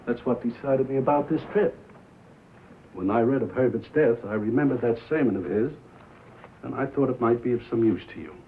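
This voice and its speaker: An actor playing a prim, earnest American small-town radio station owner, polite, literal-minded and hesitant, in a 1950s film drama. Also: male voice, mid-range voice, brisk, close to an everyday voice. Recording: dull noisy 1950s film soundtrack.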